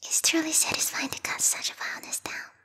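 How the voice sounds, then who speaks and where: A woman voicing a bloodthirsty, refined bat girl royal guard in a whispered ASMR roleplay, prim and courtly with quiet menace. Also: brisk, big-room sound, natural voice. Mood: gloating, conspiratorial. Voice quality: breathy, whispery. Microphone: close binaural microphone.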